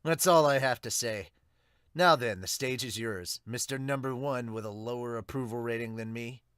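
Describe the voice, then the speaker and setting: A man's voice: mid-range voice, moderate pace, a bit bright, clear, resonant tone, dry recording, clean studio sound, close to an everyday voice. Man voicing a cocky, breezy young hero. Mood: challenging. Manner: cheeky, casual, provoking. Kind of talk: amateur manga dub.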